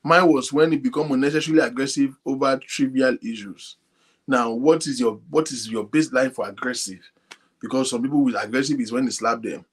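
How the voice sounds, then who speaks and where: A man hosting an online talk show, speaking softly and addressing his viewers directly. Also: mid-range voice, a little fast, slightly rough. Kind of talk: casual talk to a live audience. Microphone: phone linked to a computer.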